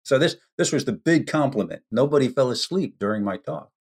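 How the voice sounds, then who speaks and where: An American man, a podcast guest, telling stories in an unhurried, anecdotal way with frequent ums. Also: mid-range voice, expressive. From United States. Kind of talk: storytelling in a podcast interview.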